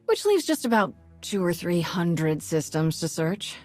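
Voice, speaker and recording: A woman's voice: on the low side, sing-song, unhurried, darkish tone, faint music behind. A woman playing a practical, reassuring space adventurer in a video game, with dry humour and confidence. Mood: practical, wry.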